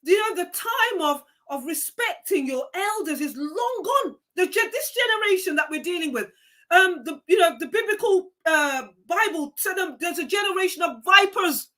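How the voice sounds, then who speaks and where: Bright, emphatic woman host. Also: extremely high pitch, lively intonation, moderate pace. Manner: emphatic and preachy, repeats key phrases. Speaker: live show host. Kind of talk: live-stream motivational talk, reading viewer comments.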